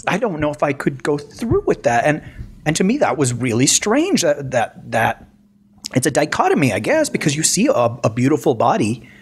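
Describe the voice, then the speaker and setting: A man co-hosting a casual podcast, telling stories in a lively way with lots of restarts. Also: a little high, moderate pace, a little hiss or hum. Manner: animated storyteller, many restarts. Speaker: podcast co-host. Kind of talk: casual chat.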